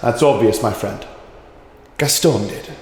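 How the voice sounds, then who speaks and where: An actor playing a calm, commanding male detective who lays out his reasoning in a radio drama. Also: lowish voice, echoey room, long reverb tail. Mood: explaining. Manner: measured, explaining.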